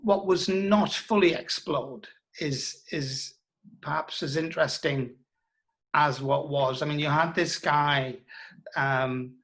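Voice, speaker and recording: A man answering as an interview guest, reflecting in a thoughtful, halting and emphatic way. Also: a little high, fairly bright tone.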